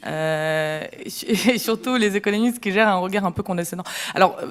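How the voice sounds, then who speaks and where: A woman's voice: medium pitch, brisk. A woman in her thirties speaking fervently as a panelist at a conference debate, with frequent restarts. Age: 30s. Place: conference amphitheater. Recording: long hall reverb.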